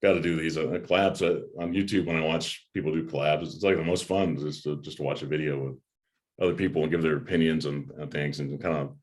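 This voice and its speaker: Very deep-voiced man chatting haltingly. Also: fairly even intonation. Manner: halting, repeats words. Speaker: host of a movie review channel. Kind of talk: casual chat. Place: home room with a desk, on a video call.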